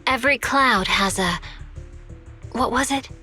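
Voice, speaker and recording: A woman playing a stoic, blunt young female chief in a video game, with terse, deadpan delivery. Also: on the high side, a little slow, faint music behind. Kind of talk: dramatic video game voice acting.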